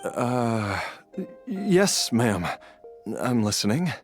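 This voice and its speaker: A man's voice: medium pitch, sing-song, extremely slow, soft background music. A man playing a nervous, obedient pupil in a game, stammering and hesitant. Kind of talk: scripted game dialogue.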